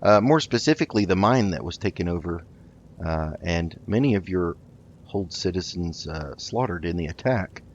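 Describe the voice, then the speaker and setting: Deep-voiced, hesitant man roleplaying a game. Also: animated. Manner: hesitant, polite, halting. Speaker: let's play host. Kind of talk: improvised in-character roleplay while playing a game. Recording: dull lo-fi mic with noticeable noise.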